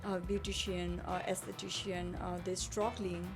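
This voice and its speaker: A woman from Nepal telling her story in a relaxed interview chat, earnest and searching for words as she goes. Her voice has a gravelly, creaky edge. Clear music plays behind her. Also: on the low side, normal speed. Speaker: guest, spa owner.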